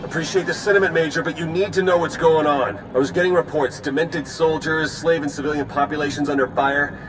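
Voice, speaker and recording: An actor playing an earnest, urgent spy pilot reporting trouble in a video game cutscene. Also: male voice, fairly even intonation, fairly quick, music in the background, natural voice. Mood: troubled. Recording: noisy cockpit with engine rumble.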